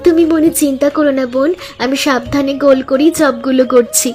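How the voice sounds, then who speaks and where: Woman acting a high-voiced, earnest village housewife. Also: steady tone, fairly quick, darkish tone, faint music behind, lightly acted. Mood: earnest, eager. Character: hardworking, hopeful village housewives starting a food business. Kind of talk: scripted animated story dialogue.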